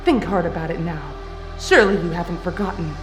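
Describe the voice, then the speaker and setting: A woman playing a cold, vengeful young female warrior in an anime fan drama, speaking deliberately and accusingly. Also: fairly low pitch, natural voice. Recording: echoey voice with faint music.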